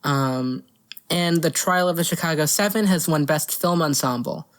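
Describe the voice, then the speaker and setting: Giggly low-voiced woman podcast host. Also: normal speed. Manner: giggly, profane, easily amused. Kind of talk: casual banter, reading out award winners.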